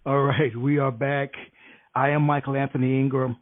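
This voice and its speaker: A man's voice: medium pitch, flat delivery, moderate pace, over loud music. A man hosting a conversational radio interview, heard over a noisy, tinny phone-like connection. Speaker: radio show host.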